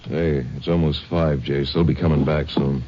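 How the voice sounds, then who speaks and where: A man's voice: very deep voice, fairly even intonation, normal speed, slight background noise. A man in a 1950s radio drama playing a helpful small-town sheriff with a plain, easygoing way of talking. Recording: dull lo-fi old radio broadcast.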